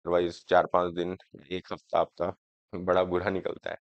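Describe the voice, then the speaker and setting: A man in his thirties teaching an exam prep class, with a hoarse throat from a cold, slowly explaining each point and checking that students follow. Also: low-pitched, completely flat delivery, no room sound. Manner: explaining slowly, often asking students if it is clear. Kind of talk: lecture on current affairs, Hindi mixed with English. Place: studio classroom, in front of a screen. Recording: dry, slightly dull sound.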